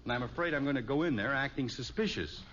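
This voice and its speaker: A man's voice: medium pitch, lively intonation, fast talker, fairly bright tone, close to an everyday voice. An actor playing a nervous, stammering middle-aged father in an old radio comedy, over-explaining himself. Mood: flustered. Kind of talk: 1950s radio comedy acting. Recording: dull old radio recording, faint hiss.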